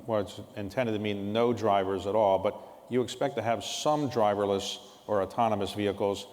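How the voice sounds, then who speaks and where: Interview host, man; blunt, probing questions, lower pitch, long hall reverb. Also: steady tone, normal speed, a little mellow. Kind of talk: live on-stage interview. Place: large event hall on stage.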